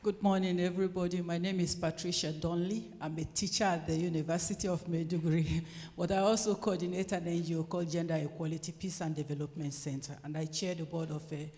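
A woman's voice: fairly low pitch, fairly even intonation, fast, few pauses, warm tone. A woman facilitating a conference session, briefly thanking and joking with speakers between introductions. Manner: brief interjections, laughing often. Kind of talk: moderating audience introductions. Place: large lecture hall. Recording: hall reverb, heard from a distance.

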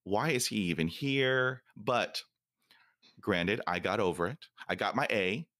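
A man's voice: fairly low pitch, a little slow, bright, forceful tone, dry recording, studio quality. A man who stutters, hosting a podcast interview and telling stories animatedly with laughter. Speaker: podcast host.

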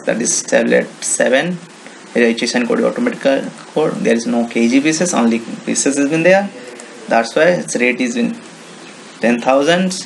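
A man with an Indian accent talks through a screen tutorial in a gravelly, mid-pitched voice. He explains each step in short, simple phrases and often repeats himself. There is faint noise on the recording. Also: poor audio. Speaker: software tutorial presenter. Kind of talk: informal screen-recording walkthrough.

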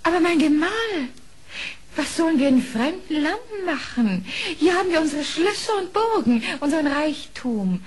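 An actress playing a worried fox wife in an audio play, pleading with theatrical delivery. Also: female voice, sits high, big pitch swings, moderate pace. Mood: worried, imploring. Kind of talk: German audio play acting.

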